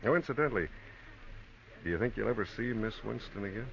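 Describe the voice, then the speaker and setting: An actor playing a low-key, tactful private detective in an understated, hesitant 1940s radio drama style. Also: male voice, expressive, fast, gravelly. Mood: tactful, probing. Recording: muffled, noisy old radio recording.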